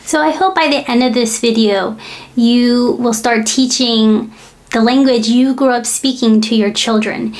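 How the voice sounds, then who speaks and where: An American woman, a parent, hosting her own language-learning channel and talking candidly to the camera with frequent fillers. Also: fairly high pitch. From United States. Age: adult parent. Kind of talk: personal talk to camera.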